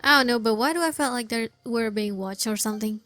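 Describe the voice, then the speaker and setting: A woman comments casually on a game. Her voice is high and bright, over background music. She muses aloud and hesitates as she plays. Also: quick pace.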